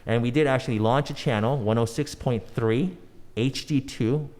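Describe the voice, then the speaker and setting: A man's voice: mid-range voice, normal speed, a little mellow. A man in his forties, a native English speaker, talking casually as a podcast guest with many restarts and fillers. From United States. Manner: rambling, frequent restarts and fillers. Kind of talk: casual conversational interview.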